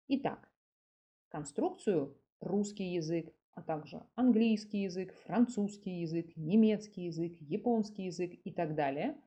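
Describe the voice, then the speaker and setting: A woman's voice: lowish voice, dark tone. A woman hosting a language lesson podcast, speaking didactically in separated phrases with repeated examples. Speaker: podcast host, Russian language teacher. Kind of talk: scripted language lesson for learners.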